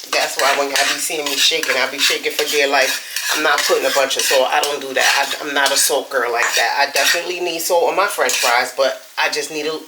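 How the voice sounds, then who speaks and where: A woman's voice: sits low, heavy background noise. Chatty congested woman vlogging her morning. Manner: chatty, rambling, drawn-out storytelling. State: congested with a cold. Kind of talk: casual morning vlog chat. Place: home kitchen, cooking.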